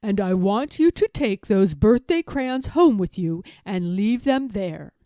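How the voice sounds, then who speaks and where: A woman's voice: mid-range voice, lively intonation, unhurried, mellow, no echo, clean studio sound, close to an everyday voice. A woman reading a storybook, voicing a stern woman first-grade teacher with a firm, emphatic, instructing manner. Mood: strict, scolding. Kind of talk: children's storybook read-aloud.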